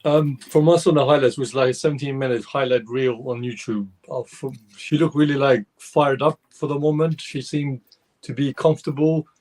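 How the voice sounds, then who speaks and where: A man on a fan show, talking heatedly and rambling with many restarts, his voice slightly hoarse. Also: medium pitch, steady tone, normal speed, darkish tone. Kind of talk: casual football fan discussion.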